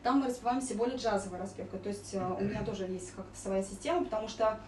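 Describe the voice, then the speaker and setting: A woman's voice: medium pitch, fast, a little hiss or hum. A woman giving an informal singing lesson as a vocal coach, explaining and demonstrating vowel sounds. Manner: explanatory, demonstrating vowels and sung warm-ups. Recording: roomy echo, distant mic, music behind.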